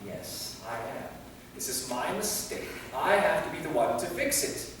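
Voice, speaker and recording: A man's voice: fairly high pitch, lively intonation, unhurried. A man acting an anxious, earnest, centuries-old vampire knight in a live staged play reading. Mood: earnest, pleading. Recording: echoey hall from far off, faint noise.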